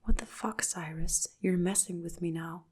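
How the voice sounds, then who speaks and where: A woman's voice: lowish voice, fairly even intonation, normal speed, darkish tone, breathy edge. A woman voicing a furious young woman nurse who accuses her husband, all in a whisper. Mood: angry whisper. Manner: whispered, angry snapping.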